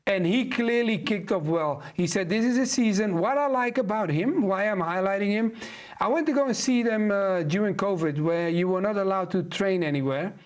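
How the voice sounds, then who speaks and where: A man from the Netherlands with a Dutch accent, giving lively football analysis on a studio panel, laughing easily. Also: high-pitched, moderate pace. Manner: animated, laughs easily, repeats himself for emphasis. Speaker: football pundit.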